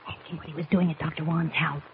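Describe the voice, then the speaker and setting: Anxious reporter, tinny noisy radio. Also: female voice, low-pitched, flat delivery, fast. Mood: urgent, suspicious. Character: anxious, watchful female newspaper reporter. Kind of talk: 1940s radio acting. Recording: thin, noisy vintage radio recording.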